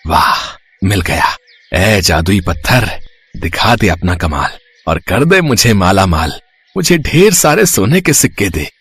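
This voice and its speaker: Cartoon villain, played by a man: greedy village schemer, husky, gloating, wildly swooping pitch. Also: big pitch swings, measured pace, fairly bright tone, heavily acted. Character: greedy, scheming male eatery owner and thief. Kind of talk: cartoon moral story acting.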